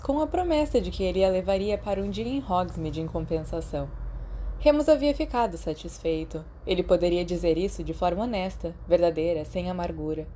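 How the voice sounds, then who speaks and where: A woman's voice: lowish voice, warm tone, a little hiss or hum. A woman with a Brazilian Portuguese accent reading a scripted story as an audiobook-style narrator. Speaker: podfic narrator. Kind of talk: scripted fiction narration with acted dialogue.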